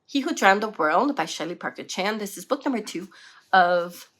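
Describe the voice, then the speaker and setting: A woman's voice: medium pitch, expressive, moderate pace, a bit bright. A young woman from French Canada, a native French speaker with a French Canadian accent, chatting casually about her reading list in a rambling way full of fillers. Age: young adult. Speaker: book vlogger. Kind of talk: casual book chat to camera.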